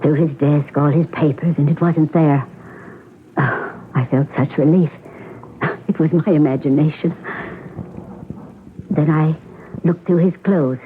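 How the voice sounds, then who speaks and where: A woman's voice: sits low, steady tone, measured pace, long pauses. Through a narrow, faintly noisy old radio recording comes an aging stage actress in a mystery play. She is poised and sardonic, choosing her words with theatrical, ladylike care. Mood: sorrowful, confessing. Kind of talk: radio drama acting.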